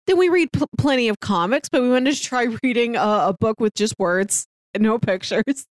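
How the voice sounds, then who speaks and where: A woman's voice: a little high, moderate pace, no room sound, clean studio sound. A woman co-hosting a podcast, explaining things in a casual, informal way as in a book club chat. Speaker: podcast co-host.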